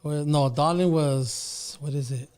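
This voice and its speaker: Higher-voiced Chicano man chatting casually. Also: measured pace, darkish tone, clean tone. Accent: Chicano Californian. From Southern California, United States. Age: around 40. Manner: informal, slangy code-switching. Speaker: rapper, podcast guest. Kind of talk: casual chat switching between Spanish and English.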